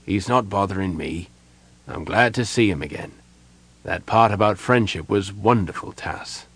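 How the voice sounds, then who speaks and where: A man voicing a big, gentle old innkeeper, fatherly and frail, speaking softly and haltingly with a breathy, earnest delivery. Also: low voice, exaggerated voice. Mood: puzzled, kindly.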